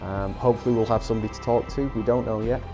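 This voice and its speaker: Male podcast co-host, deep and congested, rambling casual chat. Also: fairly even intonation, rapid-fire, over music. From England. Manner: rambling, chatty, many ums. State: nasal from a head cold.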